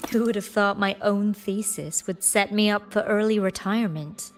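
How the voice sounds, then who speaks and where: Actress playing young female grad student, caring, lightly hesitant, teasing older friend, game dialogue, medium pitch, faint background music. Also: moderate pace, lightly acted. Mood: wistful, reassuring. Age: young adult. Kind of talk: video game voice acting.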